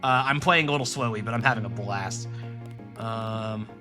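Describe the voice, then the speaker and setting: A man's voice: on the low side, moderate pace, over music. A man from Rhode Island, streaming a game and chatting to his viewers in a rambling, joking way. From Rhode Island, New England. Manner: rambling, joking, talks to chat and the screen. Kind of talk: casual let's-play commentary.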